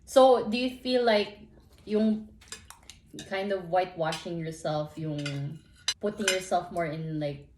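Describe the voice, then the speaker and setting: A woman in her thirties from the Philippines, asking probing questions in a soft, hesitant way during a casual family interview. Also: on the low side, slow. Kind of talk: casual family interview in mixed English and Tagalog. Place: home room, roomy. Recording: roomy home room echo, faint noise.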